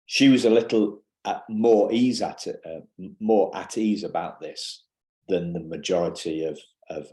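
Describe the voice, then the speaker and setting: Deep-voiced halting man in his fifties. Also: dark tone, reverberant. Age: 50s. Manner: halting, many restarts and fillers. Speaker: podcast host. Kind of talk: podcast interview.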